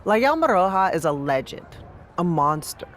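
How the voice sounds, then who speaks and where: An actor playing an encouraging but scheming wrestling adviser in a scripted video game cutscene. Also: male voice, high-pitched, sing-song, moderate pace, fairly bright tone, slight background noise. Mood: earnest.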